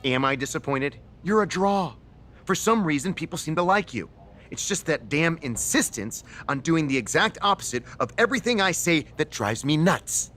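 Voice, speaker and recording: Self-important wrestling general manager, fairly high and lively, game cutscene. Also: male voice, moderate pace. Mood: exasperated. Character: vain, exasperated male wrestling general manager. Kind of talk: scripted video game cutscene acting.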